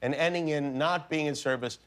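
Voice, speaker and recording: A man in his forties hosting a late-night talk show, teasing his guest with wry deadpan jokes in a TV studio. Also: on the high side, brisk. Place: TV studio set with city backdrop. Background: studio audience laughter.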